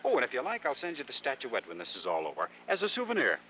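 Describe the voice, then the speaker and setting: An actor playing a mild, genteel nephew with a sly streak, in 1950s radio drama style. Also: male voice, mid-range voice, wildly swooping pitch, fast talker. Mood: amused, conspiratorial. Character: mild, polite nephew, quietly sly and amused. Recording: muffled, noisy vintage radio recording.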